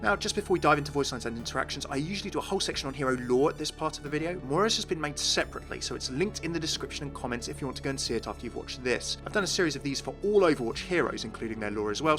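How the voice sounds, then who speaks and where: A man hosting a gaming video, narrating in an enthusiastic explainer style. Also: fairly high pitch. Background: music.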